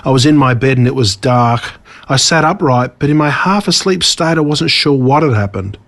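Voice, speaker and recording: An Australian man reads a children's story as a narrator, expressively and with dramatic pauses on key words. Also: mid-range voice, fairly bright tone. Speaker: author reading own story.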